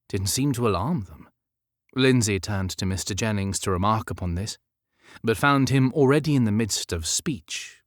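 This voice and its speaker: Male audiobook narrator for period romance; lowish pitch, measured expressive delivery, very dry studio sound. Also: no echo. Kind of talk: scripted literary narration of a historical romance.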